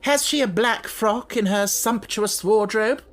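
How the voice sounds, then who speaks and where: A man's voice: high voice, a little slow, fairly bright tone, voice pushed up. A man voicing a harsh, imperious, indignant middle-aged headmistress in an audiobook story. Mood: fierce, bitter.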